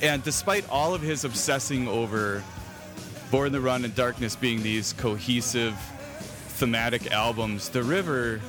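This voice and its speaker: A man's voice: medium pitch, unhurried, music in the background. A man hosting a podcast in casual, jokey conversation over an internet call, prone to chuckling. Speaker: podcast host. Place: remote Skype call.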